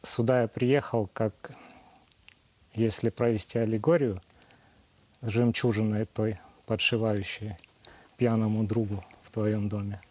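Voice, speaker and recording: A man's voice: fairly low pitch, little pitch movement, unhurried, long pauses, a little mellow. A man of thirty sharing his path to yoga at a retreat, earnest and fond of allegory. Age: 30s. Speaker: retreat participant. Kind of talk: personal testimony.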